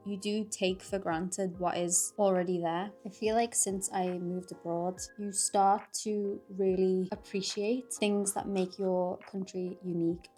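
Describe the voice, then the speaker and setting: Young Liverpudlian woman, casual vlogger talking to camera, mid-pitched, faint music bed behind. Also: mellow. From Liverpool, England. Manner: chatty, lots of "like", frequent laughs.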